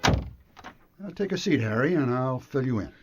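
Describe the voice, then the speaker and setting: An actor playing an anxious, earnest and formal retirement home director in a radio drama. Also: male voice, mid-range voice, animated, normal speed, over music, close to an everyday voice. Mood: brisk, cordial. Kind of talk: radio detective drama acting. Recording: dull lo-fi radio broadcast.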